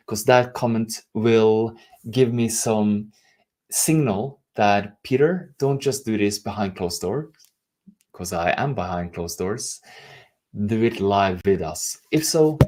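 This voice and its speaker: A man's voice: fairly low pitch, slow pace, darkish tone. A middle-aged man from Norway with a Norwegian accent, giving an earnest, self-teasing motivational talk as a leadership coach.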